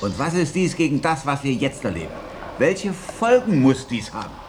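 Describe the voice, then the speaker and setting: A man's voice: mid-range voice, sing-song, moderate pace, background noise, natural voice. A man playing a polite, deferential dinner companion in a radio drama, with formal manners. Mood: earnest.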